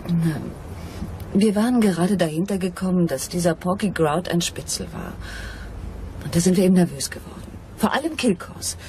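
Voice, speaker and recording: A woman's voice: fairly low pitch, moderate pace, darkish tone, lightly acted. A woman playing a seductive, scheming femme fatale in a crime radio play, with a languid, confiding delivery. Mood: confiding, resigned.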